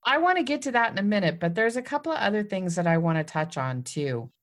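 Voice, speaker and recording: A woman's voice: mid-range voice, lively intonation, fast talker. A woman hosting a podcast interview, encouraging her guest and reflecting the guest's story back to her in a conversational way. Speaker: podcast host.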